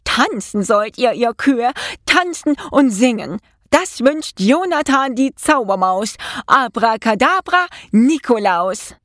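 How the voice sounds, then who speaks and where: An excitable young magic mouse from a children's storybook, acted out by a woman, pitched high and lifted well above an everyday voice. He is gleeful and exuberant, chanting his words with great relish in a dry, close recording. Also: measured pace, no echo. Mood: gleeful, commanding. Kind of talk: children's storybook character reading.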